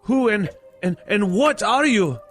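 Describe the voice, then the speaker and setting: A man's voice: high-pitched, animated, measured pace, fairly bright tone. Old male miser , played by a man, grumpy and fearful, theatrical audio drama delivery with music underneath. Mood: fearful, pleading. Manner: exclaiming, stammering when frightened.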